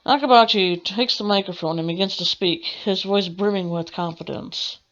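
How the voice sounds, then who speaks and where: A woman's voice: medium pitch, normal speed, muffled recording. A woman streaming a game, reading a visual novel aloud with informal, rambling asides. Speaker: gaming streamer. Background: game music.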